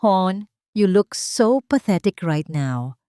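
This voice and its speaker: A woman voicing a cruel, manipulative drunk husband in an audio drama, hoarse, with mock tenderness and English mixed in. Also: mid-range voice, lively intonation, takes it slowly, warm tone, no echo, studio quality. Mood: mocking pity. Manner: mockingly tender, blaming, code-switching between English and Tagalog. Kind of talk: audio drama character acting.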